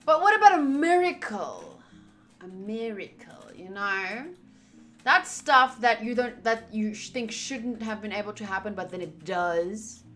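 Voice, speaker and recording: Bright-voiced woman streaming a game playthrough. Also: mid-range voice, sing-song, a little slow, faint music behind. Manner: reacts out loud, exclamatory. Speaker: visual novel playthrough streamer. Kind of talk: gaming commentary and reading game text aloud.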